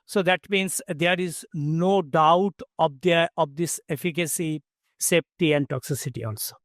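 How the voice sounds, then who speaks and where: A man giving explanatory answers as an expert panelist, halting and repeating words for emphasis. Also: on the high side, fairly bright tone, dry recording, studio quality.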